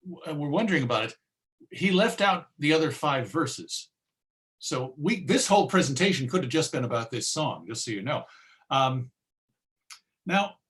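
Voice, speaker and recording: A man presenting an informal history talk in a conversational, halting way with dry humor. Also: medium pitch, a bit bright.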